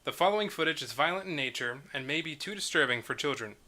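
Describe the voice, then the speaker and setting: A man's voice: fairly high pitch, lively intonation, fast, sharp tone. A man playing a serious young television news anchor at a screenplay table read.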